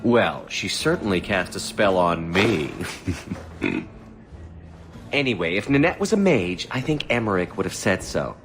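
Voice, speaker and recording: Cocky, lively young elf courtesan. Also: male voice, low voice, unhurried, long pauses, music in the background, full character voice. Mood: sly, candid. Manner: sly, teasing, sardonic. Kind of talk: dramatic video game dialogue.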